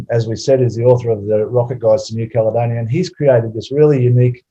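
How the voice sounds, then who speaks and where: A man hosting an online webinar over a video call, relaxed and hesitant with many fillers. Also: medium pitch, a little fast, lo-fi sound. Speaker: webinar host.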